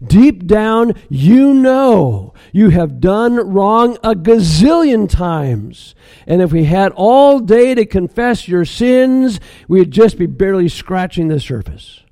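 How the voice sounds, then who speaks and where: A man's voice: high-pitched, big pitch swings, unhurried, dark tone, no room sound, clean studio sound, voice pushed up, heavily acted. A man playing a probing, compassionate stranger. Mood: earnest.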